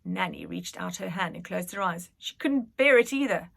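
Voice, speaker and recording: A woman reads a children's storybook aloud. Her voice is bright and very lively in pitch, and she tells it dramatically, leaning into the suspense. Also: moderate pace, gravelly. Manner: animated, dramatic, with whispered suspense. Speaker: reader, school staff member.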